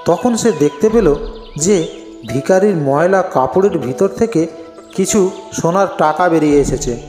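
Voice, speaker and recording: A male narrator tells a cartoon tale in a slightly high voice. The recording is echoey with a long reverb tail, and soft music runs underneath. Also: expressive, measured pace. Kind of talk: scripted storytelling narration for a cartoon. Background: faint music.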